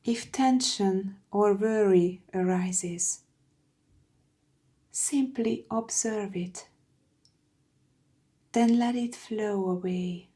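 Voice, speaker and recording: A woman leads a guided meditation at a very unhurried pace. Her tone is soft and mellow, soothing and hushed, and her phrases often end in a breathy near-whisper. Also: mid-range voice. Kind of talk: slow guided meditation, scripted.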